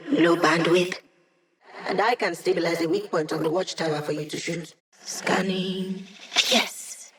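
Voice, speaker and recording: A woman's voice: fairly low pitch, close to an everyday voice. An actress voicing a wry, cocky young woman hacker in a video game, speaking in quippy, casual lines. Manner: sardonic, quippy, casual slang. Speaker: game character, hacker support.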